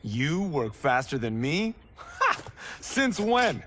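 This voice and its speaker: An actor playing a restless, boastful young farmer who longs for glory, in a video game cutscene. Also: male voice, on the high side, slow pace, heavily acted. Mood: playful, cocky. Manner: animated, cocky. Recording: clearly noisy background.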